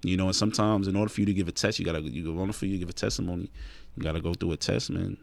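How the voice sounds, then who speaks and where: Very deep gravelly man, casual and preachy. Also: fairly even intonation, racing, no echo. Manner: preachy, says "man" a lot, many agreeing "right"s. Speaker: podcast co-host. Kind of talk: casual conversation.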